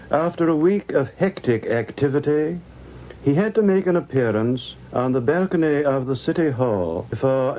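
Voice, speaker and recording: Man narrating on tinny 1950s radio. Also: medium pitch. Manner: formal, literary, measured delivery.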